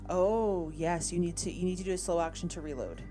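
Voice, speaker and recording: Female game master, lowish voice, casual rules talk, thinking aloud over background music. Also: brisk. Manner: thinks aloud while checking rules and rolls.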